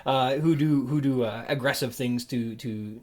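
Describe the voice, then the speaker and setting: Casual man hosting a podcast, halting delivery. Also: medium pitch, darkish tone. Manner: halting, rambling, playfully joking. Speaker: podcast host interviewing a guest. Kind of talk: casual interview chat.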